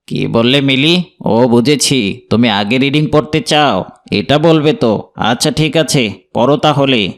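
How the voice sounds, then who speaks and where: A man's voice: medium pitch, unhurried, lightly acted. A man voicing a stern schoolteacher in a cartoon, talking in a firm, instructing way. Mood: matter-of-fact.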